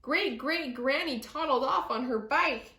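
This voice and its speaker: A high-pitched woman narrates a children's story. She takes it slowly, with deliberate dramatic phrasing, in a room with noticeable echo. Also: bright, forceful tone. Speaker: storyteller reading a picture book aloud. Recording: roomy echo.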